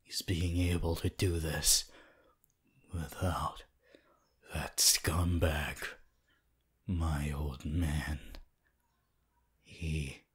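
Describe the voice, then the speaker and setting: A man voicing a cold, proud, brooding teenage hero student in an ASMR audio roleplay, husky and breathy, often near a whisper, with terse, deliberate delivery. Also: very deep voice, heavily acted. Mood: bitter. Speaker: roleplay voice actor.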